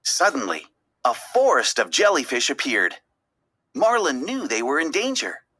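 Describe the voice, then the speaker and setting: A woman reading a children's story aloud as an expressive, dramatic narrator. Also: deep voice, unhurried, slightly rough. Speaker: storybook reader.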